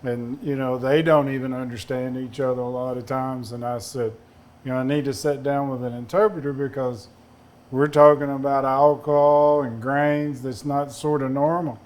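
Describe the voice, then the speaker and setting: A man's voice: medium pitch, fairly even intonation, moderate pace, darkish tone. A man with a Southern accent, a distiller on a panel, telling folksy, rambling anecdotes. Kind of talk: casual storytelling on a panel.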